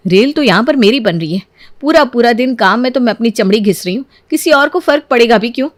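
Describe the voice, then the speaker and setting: A woman's voice: on the high side, animated, fast, no echo, close to an everyday voice. A woman voicing an exasperated young daughter-in-law in a cartoon story, complaining in a whiny, indignant, dramatic way. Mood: aggrieved.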